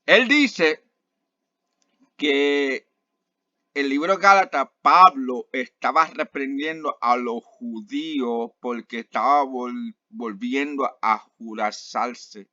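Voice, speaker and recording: Slow-talking man with many fillers. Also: medium pitch, bright, forceful tone, no room sound, lo-fi sound. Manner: halting, with many fillers and restarts. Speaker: online Bible teacher. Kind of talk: casual video commentary.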